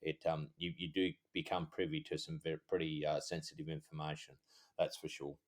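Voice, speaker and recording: A middle-aged Australian man, a former cop co-hosting a podcast, chatting in a rambling, halting, easygoing way. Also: rumbling low, little pitch movement. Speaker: podcast co-host, former police officer. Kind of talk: casual chat.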